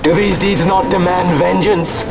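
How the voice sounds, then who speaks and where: An actor voicing a menacing, bitter villain in a video game, goading and declaiming in a theatrical, commanding manner. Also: male voice, sits high, steady tone, unhurried. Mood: taunting confession. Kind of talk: dramatic video game voice acting. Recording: slightly distant voice under loud music.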